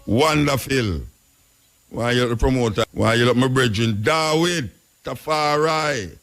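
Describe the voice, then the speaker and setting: A man's voice: mid-range voice, measured pace, gravelly, fairly bright tone. Jamaican man rambling on talk radio. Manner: rambling, chuckling, patois phrasing. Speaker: radio host. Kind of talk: casual talk radio commentary.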